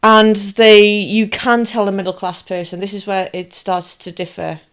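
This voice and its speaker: A woman's voice: mid-range voice, level tone, moderate pace, a bit bright. A woman, explaining in casual podcast chat, often checking that her listener follows. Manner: explains with lists and comparisons, asks if it makes sense. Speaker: podcast co-host.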